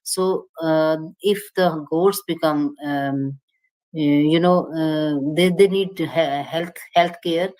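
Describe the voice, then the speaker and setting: A woman with a very low voice answers a question at a panel discussion. She talks in halting stretches, hunting for words and restarting phrases. Her tone stays explanatory throughout. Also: a little mellow, rough recording. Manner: hesitant, many fillers and restarts, explanatory. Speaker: panel speaker.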